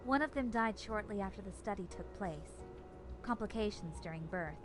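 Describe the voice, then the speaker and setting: A woman playing a serious, guarded investigator in a visual novel, speaking in a measured, earnest way. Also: medium pitch, animated, lightly acted. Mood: grave. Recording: music playing behind. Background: game music.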